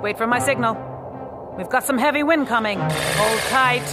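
An authoritative female falcon commander played by a woman in an audio drama. She gives clipped military calls in a fairly high voice, under very loud music. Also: moderate pace, close to an everyday voice. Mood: commanding.

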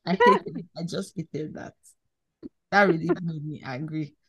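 Young Nigerian woman, podcast co-host, lowish voice, lively and expressive. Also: slightly rough. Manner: animated, quick swings in loudness and pitch. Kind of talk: casual chat.

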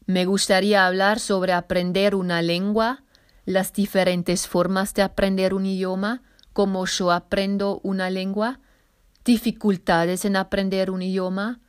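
A woman's voice: mid-range voice, level tone, unhurried, clear, resonant tone. A woman hosting a solo podcast in careful learner's Spanish, with a slight Argentine accent blended with others and small self-corrections. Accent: slight Argentine, mixed. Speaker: podcast host.

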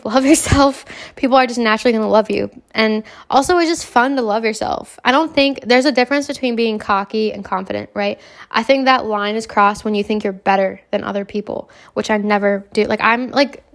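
Young woman podcast host with a cold, scratchy hoarse voice, cocky and swearing, chatty. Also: medium pitch. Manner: brash, self-assured, swears freely. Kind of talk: casual self-help podcast monologue.

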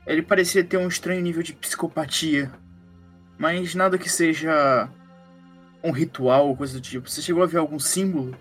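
A man's voice: fairly high pitch, fairly even intonation, moderate pace, a bit bright, faint music behind, natural voice. A man playing a skeptical, analytical psychologist detective in a horror roleplaying game, talking casually with a Brazilian accent. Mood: explanatory. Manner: casual, reasoning.

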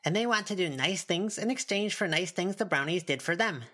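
A little storybook creature girl, voiced by a man, chipper and teasing. Her lines sit high with lively lilts. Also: fairly quick. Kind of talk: audiobook character dialogue.

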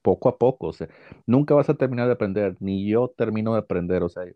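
A man's voice: on the low side, fast, narrow, tinny audio. A man with a Mexican accent, a guest teacher explaining things casually, with frequent fillers like "este" and "o sea".

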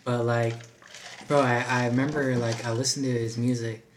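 A man's voice: mid-range voice, normal speed, a little hiss or hum. A young man with a light voice chatting casually on a podcast, telling stories with lots of laughs and quoted lines. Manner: animated storyteller, laughs often, quotes himself. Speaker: podcast guest, rapper. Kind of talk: casual chat.

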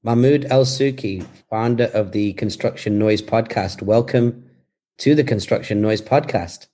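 A man, a podcast producer interviewing the host, laying out his questions in a measured, step-by-step way. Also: on the low side, dark tone.

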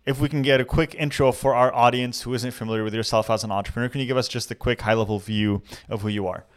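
A man hosting a podcast, speaking at a brisk, quick clip. He is upbeat and energetic and leans into his questions. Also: medium pitch, smooth. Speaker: podcast host. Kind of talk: casual interview.

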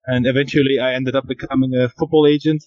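A man answering interview questions from outdoors over a remote call, measured and a little repetitive. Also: mid-range voice, flat delivery, highs cut off. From Germany. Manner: measured, repeats himself, light fillers. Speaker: podcast guest, football agent.

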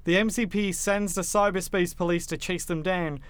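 A man's voice: high-pitched, fairly even intonation, normal speed, clear, resonant tone, dry recording. A man hosting a podcast, recapping a film plot in a casual, jokey and informal way. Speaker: podcast host.

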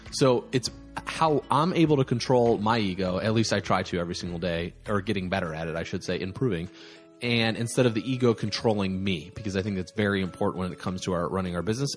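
A man in his thirties hosting his own podcast, talking casually and rambling with lots of fillers and self-deprecating jokes, tired from little sleep. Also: lowish voice. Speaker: podcast host. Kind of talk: casual solo podcast monologue.

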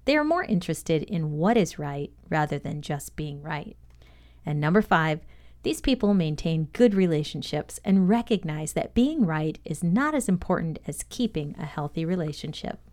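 Lower-pitched woman reading a leadership lesson. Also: sing-song, moderate pace, darkish tone. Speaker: podcast co-host and reader.

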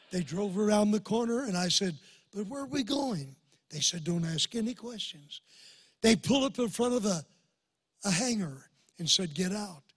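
Hoarse eighty-something preacher, high and husky. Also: male voice, a bit bright, dry recording. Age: 80s. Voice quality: old hoarse voice. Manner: folksy, calls for amens. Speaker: guest pastor. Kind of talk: sermon with personal stories. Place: church sanctuary before a congregation.

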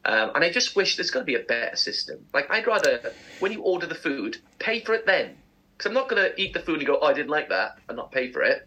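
A man's voice: fairly high pitch, very animated, fast talker, pushed and bright, husky, rough recording. A man co-hosting a chat show, telling rambling, humorous anecdotes in a casual style. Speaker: co-host presenting segments.

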